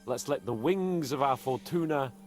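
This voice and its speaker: An actor playing a boastful, weary young nobleman in a game, with an animated, theatrical delivery. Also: medium pitch, moderate pace, natural voice. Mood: jovial. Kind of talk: game voice acting. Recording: game audio under faint music.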